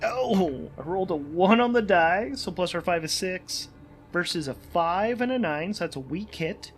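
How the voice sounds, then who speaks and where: A man's voice: sits high, animated, normal speed. A man hosting a solo tabletop game, thinking aloud informally and correcting himself as he goes, with ambience behind him. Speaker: solo game player and podcast host.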